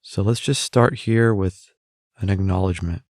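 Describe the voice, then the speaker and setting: A man leading a guided meditation as the guide, speaking in a calm, gentle, instructing manner with pauses. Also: low-pitched, no echo, studio quality.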